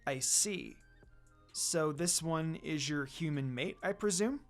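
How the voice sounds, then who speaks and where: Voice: medium pitch, slow pace, faint music behind. A narrator voicing a proud, courteous female demon dog, a protective mother with formal phrasing. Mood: composed, curious.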